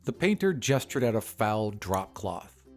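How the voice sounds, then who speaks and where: A man narrating an audio drama in a measured, expressive way. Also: lowish voice, faint music behind.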